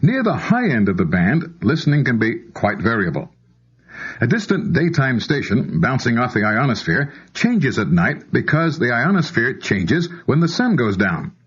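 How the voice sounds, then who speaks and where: A man with an American accent narrates an instructional tape in a slightly rough, husky voice. His intonation moves up and down in a lively way as he explains each point to the listener. The sound is muffled and lo-fi, like an old cassette. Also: mid-range voice, normal speed. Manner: clear step-by-step explaining, addressing the listener directly.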